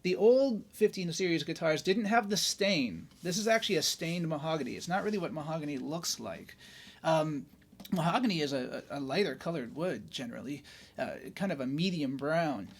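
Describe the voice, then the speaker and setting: A man's voice: a little high, lively intonation, moderate pace. A man hosting a casual guitar review, chatty and enthusiastic, with lots of fillers and tag questions.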